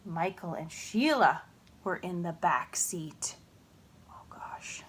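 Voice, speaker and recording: A woman reading a children's story aloud in an expressive, unhurried way, with chatty asides. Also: on the low side, breathy edge.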